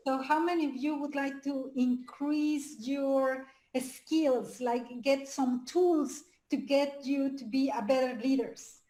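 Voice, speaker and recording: Woman life coach, Spanish-speaking, Mexican accent, high-pitched, upbeat, keeps quizzing the audience, roomy echo. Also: slow, hardly any pauses. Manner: energetic, asks the audience questions, nonnative phrasing. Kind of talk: live webinar talk.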